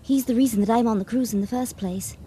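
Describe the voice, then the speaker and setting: An actress playing a shy, bookish, lovestruck young woman in a radio drama, earnest and halting. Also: a little high, dark tone, lightly acted. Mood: determined. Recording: noisy 1980 radio drama recording.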